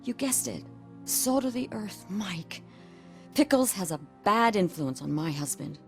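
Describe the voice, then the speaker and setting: An actress playing a worried, bitter wife in a video game, talking in a blunt, plain-spoken way. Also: female voice, medium pitch, expressive, unhurried, soft background music. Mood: bitter, exasperated.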